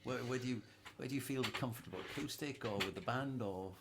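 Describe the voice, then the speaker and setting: A man's voice: mid-range voice, fast talker, vocal fry, fairly bright tone, slight background noise. A man hosting a casual music chat, hesitant and repeating words, laughing often. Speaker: host.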